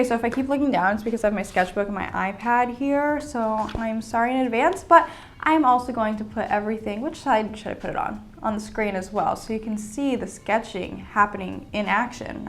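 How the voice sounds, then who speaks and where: A woman's voice: a little high, a little hiss or hum. A young woman hosting her own sewing and fashion channel, chatting casually and upbeat with lots of fillers, a little sick. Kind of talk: casual vlog chat. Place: indoors at home with sketchbook and iPad.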